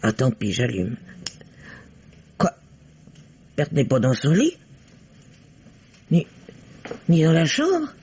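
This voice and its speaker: An actress playing a middle-aged, bossy and protective elder sister in an old radio mystery, with theatrical, emphatic delivery. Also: female voice, sits low, huge pitch swings, dark tone, full character voice. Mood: weary, uneasy. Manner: theatrical, emphatic, quick to argue. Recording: dull, lo-fi vintage radio recording.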